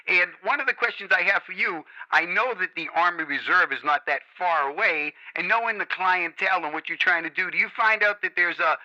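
A male radio host with a strikingly bright, cutting voice that sits a little high. He talks in a smooth, boastful promoter's patter. The sound is dull and lo-fi, like a radio broadcast. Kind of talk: hyped radio interview.

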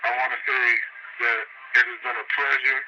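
A man's voice: mid-range voice, flat delivery, a little fast, lo-fi sound, background noise. A man guesting on a radio show, talking about his businesses in a laid-back, rambling way full of fillers. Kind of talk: casual radio interview.

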